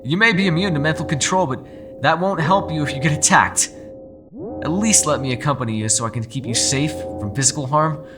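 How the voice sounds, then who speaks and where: A man voicing a proud, gentlemanly captive yokai in a dramatic ASMR roleplay, articulate and courteous yet demanding, speaking directly to the listener. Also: a little high, brisk, over music, close to an everyday voice. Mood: urgent, protective. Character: captive male yokai, gentlemanly, proud, protective telepathic prisoner. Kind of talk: ASMR audio roleplay, dramatic character acting.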